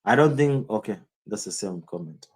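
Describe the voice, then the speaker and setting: A man's voice: lowish voice, expressive, normal speed, a little mellow, husky. A man with a Kenyan accent streaming live, rambling in casual commentary and answering viewers' chat comments by name.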